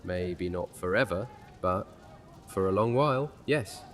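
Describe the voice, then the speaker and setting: A man playing a gentle, soft-spoken vampire companion, reassuring and slyly witty, in a horror audio drama. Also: low-pitched, lively intonation, unhurried. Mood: wry. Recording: reverberant hall with faint music.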